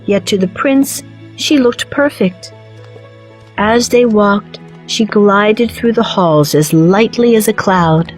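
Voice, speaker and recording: A woman narrates a children's fairy tale at a slow, measured pace. Her pitch is medium and soft music runs behind her. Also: poor audio. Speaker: storyteller. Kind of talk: scripted children's story narration.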